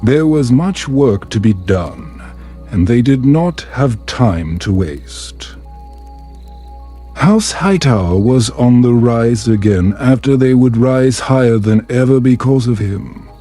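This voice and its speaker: Slow, mellow male bedtime storyteller. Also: medium pitch, expressive, soft background music. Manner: soothing, measured, unhurried delivery. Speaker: storyteller, narrator. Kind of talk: calm scripted bedtime story narration.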